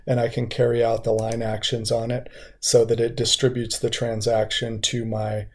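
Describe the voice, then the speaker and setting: A man working as a software trainer, explaining an on-screen walkthrough methodically, step by step. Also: medium pitch, completely flat delivery, normal speed. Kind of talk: instructional screen-share walkthrough.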